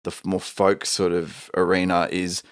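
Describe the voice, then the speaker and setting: Aussie guy with a really low voice chatting about music, dry close sound, talks a lot. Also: little pitch movement, normal speed, clear, resonant tone, no echo. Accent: Australian. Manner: talkative and opinionated, long flowing answers. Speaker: singer-songwriter guest. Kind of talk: casual interview chat.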